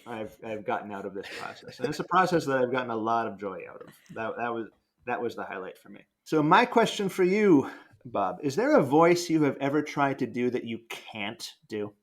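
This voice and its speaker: Casual male interviewer, lively and varied pitch, conversational delivery. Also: fairly quick. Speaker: podcast cast member asking a colleague questions.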